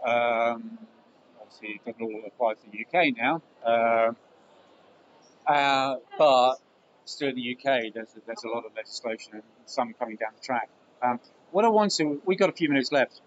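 A man's voice: mid-range voice, normal speed, dull audio, slight background noise. A man hosting a casual podcast interview. He jokes and often stumbles over repeated words. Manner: hesitant, repeats words, joking. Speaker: podcast host.

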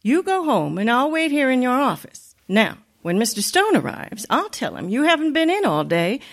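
A woman playing a wry, quick-witted high-school teacher with sardonic, theatrical radio comedy delivery. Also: big pitch swings, full character voice. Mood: helpful.

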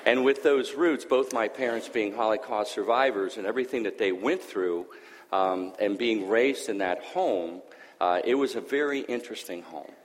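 Low-voiced Greek-born man giving testimony. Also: normal speed, in a large hall, slight background noise. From Greece. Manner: conversational, hesitant fillers. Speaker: church guest sharing testimony. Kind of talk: personal testimony.

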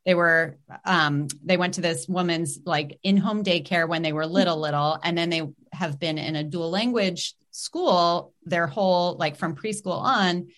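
A woman's voice: fairly low pitch, fairly even intonation, unhurried, hardly any pauses, fairly bright tone. A middle-aged woman hosting a podcast, chatting casually and upbeat, with lots of "like" and "um". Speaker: podcast host.

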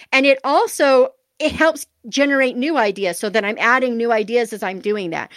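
A woman's voice: fairly high pitch, a bit bright, dry recording. A woman in her forties with a nasal voice, chatting candidly and self-deprecatingly as an author being interviewed. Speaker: author guest. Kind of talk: casual interview answers.

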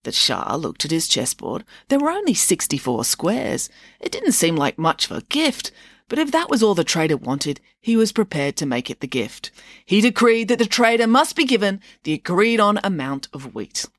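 A woman narrating a children's story in an expressive, dramatised reading style. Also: medium pitch, dry recording, clean studio sound.

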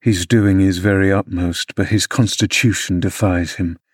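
A man's voice: fairly even intonation, moderate pace, breathy edge, dry recording, studio quality. A man voicing a brooding young man who mutters sardonic remarks. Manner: low, muttering.

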